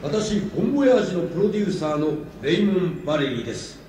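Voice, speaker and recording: An actor playing a showy, excited French film producer making a formal stage announcement in a radio drama. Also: male voice, high voice, quick pace, slight background noise. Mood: formal, proud. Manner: formal, projecting showman's announcing. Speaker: film producer addressing an audience. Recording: echoey hall, distant from mic.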